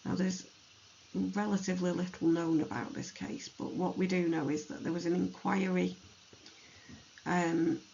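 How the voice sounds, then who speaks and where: Hoarse, gravelly podcast host; slow, reflective delivery; slightly dull recording with faint hiss. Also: medium pitch, darkish tone. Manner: slow, thoughtful, with many pauses and ums. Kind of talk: educational true-crime narration.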